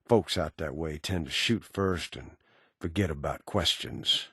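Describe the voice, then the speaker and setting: A man's voice: very deep voice, unhurried, sharp tone, husky, no echo, studio quality, exaggerated voice. A man playing a cynical, menacing undead bounty hunter in a video game, with a sardonic, folksy Southern American drawl. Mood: menacing, bored. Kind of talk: video game character dialogue.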